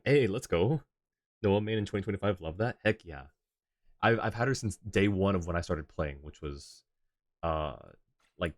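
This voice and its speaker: Low-voiced male game streamer thinking aloud. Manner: thinks aloud, reading on-screen text and chat. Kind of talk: casual live gaming commentary. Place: indoor live stream setup. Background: faint game music.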